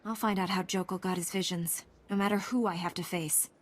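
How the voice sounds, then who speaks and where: A woman's voice: lowish voice, fairly even intonation, fairly quick, lightly acted. A woman playing a resolute young warrior hero in a video game, speaking in an earnest, direct, composed way. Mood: determined. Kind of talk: video game cutscene acting. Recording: music under the voice.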